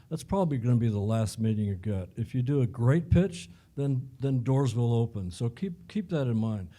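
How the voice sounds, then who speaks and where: A male panelist adds a short, matter-of-fact point about how investors talk to each other. His voice is very dark and mellow, at an ordinary middle pitch. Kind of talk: panel remarks.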